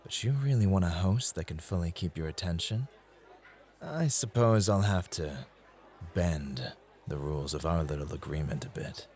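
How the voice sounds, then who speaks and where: A man playing a possessive, devoted club host in an ASMR roleplay, smooth-talking and condescending toward his rival. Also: sits low, moderate pace, a little mellow, lightly acted. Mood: smug, coaxing. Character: possessive, devoted male club host named Roy, courting a guest.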